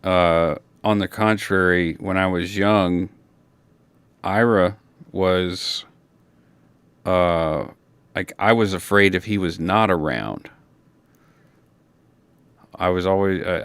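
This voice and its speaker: A man whose native language is Creole French, speaking in a reflective, unscripted personal monologue, halting and searching for words. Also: deep voice, a bit bright, clear, resonant tone. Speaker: personal documentary narrator.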